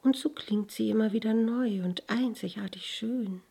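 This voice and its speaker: A woman voicing a tiny, gentle young flower in a fairy tale, speaking softly and delicately. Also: on the high side, moderate pace, gravelly, husky, pitched above the performer's own voice.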